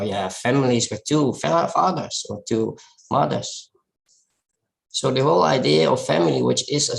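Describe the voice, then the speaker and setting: A man with a Dutch accent presents his personal work in a creaky, mid-pitched voice. He speaks reflectively and informally, pausing and restarting as he searches for the right words. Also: slight background noise, low-quality recording. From Netherlands. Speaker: photographer giving a talk.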